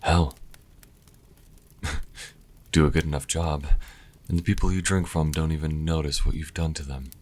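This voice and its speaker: Very deep, husky, sardonic vampire played by a man. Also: fairly even intonation, darkish tone. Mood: wry, matter-of-fact. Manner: dry, sardonic, unhurried. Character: sassy male vampire, former thrall, grudging caretaker. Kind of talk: immersive audio roleplay, speaking to the listener.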